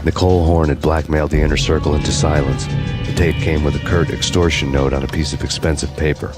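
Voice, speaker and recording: A deadpan noir cop narrator. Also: male voice, rumbling low, fast, over loud music. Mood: grim. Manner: flat, deadpan, sardonic. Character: weary hard-boiled male cop narrator, grim and sardonic.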